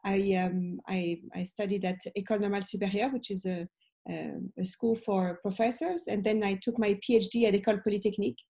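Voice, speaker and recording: A woman's voice: medium pitch, fairly even intonation, brisk, dark tone. A woman in her late forties with a French accent, a professor giving conversational interview answers on a video call from home. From France. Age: late 40s. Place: indoor room at home. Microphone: video call, computer microphone.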